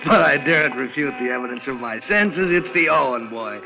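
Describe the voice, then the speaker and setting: An actor playing a pompous, jovial middle-aged small-town dentist with florid, avuncular speech in 1940s radio drama style. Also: male voice, mid-range voice, brisk, creaky, over music, natural voice, rough recording. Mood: jovially pompous.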